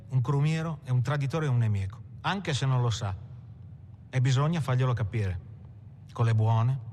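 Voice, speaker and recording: An actor playing a tough, proud working-class gangster from Turin, reminiscing bluntly in a dramatic film monologue. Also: male voice, mid-range voice, a little mellow, slight background noise, lightly acted. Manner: colloquial, blunt, defiant. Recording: music behind the voice.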